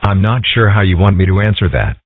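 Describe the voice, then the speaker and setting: A man voicing a calm, dry-witted ten-year-old boy in a cartoon comic dub, with a husky voice and a mild deadpan manner. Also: low voice, fairly quick, no room sound. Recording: muffled band-limited audio.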